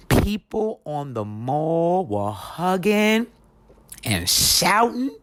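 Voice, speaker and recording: Woman reading aloud at a measured, slow pace. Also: a bass voice, darkish tone, dry recording. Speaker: reader of a children's history book. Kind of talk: expressive read-aloud storytelling.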